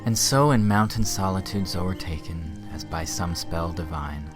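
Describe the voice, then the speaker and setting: An actor playing a tender, earnest young college man in an audio drama, gentle and poetic. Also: low-pitched, normal speed, over music, lightly acted.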